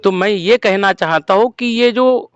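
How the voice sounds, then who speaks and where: Man, high pitch, earnest, halting and emphatic, rhetorical questions, dull lo-fi sound. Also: smooth, no room sound. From Madhya Pradesh, India. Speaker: protest participant being interviewed. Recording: dull lo-fi close recording.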